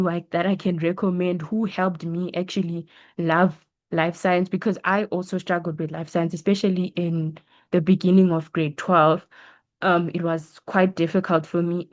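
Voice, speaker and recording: A young woman talks a school pupil through study choices. Her voice sits low and she stays patient and reassuring, pausing on ums. The sound is dull and lo-fi. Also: a little mellow. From South Africa. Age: young adult. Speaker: science student answering questions. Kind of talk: casual advice session. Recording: dull lo-fi audio.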